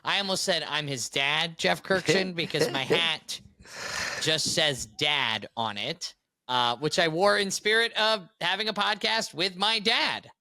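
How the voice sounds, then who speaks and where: A young man co-hosting a podcast, upbeat and animated as he tells stories. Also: a little high, measured pace, no room sound. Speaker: podcast co-host. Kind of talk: casual chat.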